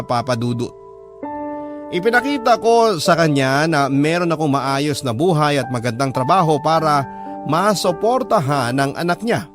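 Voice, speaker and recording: A man's voice: on the high side, lightly acted. A man with a Filipino accent playing a sincere boyfriend, narrating his love story confidingly in a scripted radio drama. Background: music under the voice.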